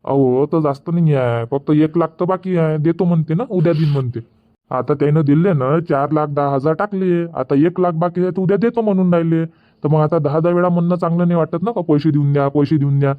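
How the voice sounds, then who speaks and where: A man voicing rustic Varhadi Marathi farmer, high-pitched. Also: fairly even intonation, a little fast, natural voice. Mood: earnest. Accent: Varhadi Marathi, Vidarbha. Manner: colloquial, repetitive rustic chatter. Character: village orange farmers and traders, plain-spoken rustic men. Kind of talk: dubbed cartoon comedy dialogue. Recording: dull lo-fi audio.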